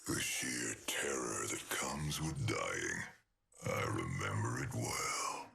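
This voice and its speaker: An actor playing a menacing, sadistic killer machine in a video game, with a whispery growl and slow, deliberate threats. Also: male voice, extremely low pitch, animated, creaky, background noise, full character voice. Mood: gloating menace. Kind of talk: video game character voice lines.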